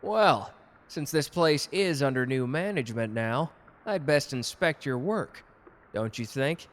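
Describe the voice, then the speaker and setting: A man's voice: medium pitch, lively intonation, measured pace, natural voice. A man playing a cocky, gallant and protective customer who flirts teasingly in a romantic roleplay audio drama. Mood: teasing, friendly. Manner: suave, teasing, intimate one-sided conversation.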